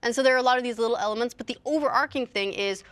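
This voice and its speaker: A woman's voice: on the high side, racing, fairly bright tone, clear, resonant tone. Woman reasoning aloud in a casual discussion. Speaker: talk show panelist.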